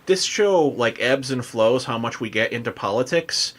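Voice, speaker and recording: A man hosting a casual chat, working his way through questions in a halting, rambling way with repeated words. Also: medium pitch, animated. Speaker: podcast host, interviewer.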